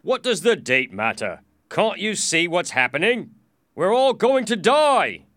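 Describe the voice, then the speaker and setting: A man voicing an angry, panicked executioner in a storybook, impatient and shouting. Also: high-pitched, very animated, measured pace, dry recording, clean studio sound, pitched above the performer's own voice, exaggerated voice.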